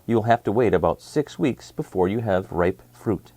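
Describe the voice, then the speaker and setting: A man's voice: lowish voice, animated, moderate pace, mellow, no room sound. Man reading to kids with swooping intonation. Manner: clear, simple phrasing addressed to children. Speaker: children's read-aloud host. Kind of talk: educational picture book read-aloud for kids.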